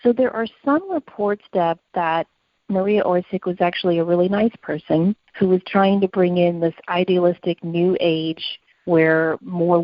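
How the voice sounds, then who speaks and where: A woman with a fairly low voice tells a story as a podcast co-host, reading from prepared notes. She explains as she goes. The sound is close and dry, slightly dull. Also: no room sound.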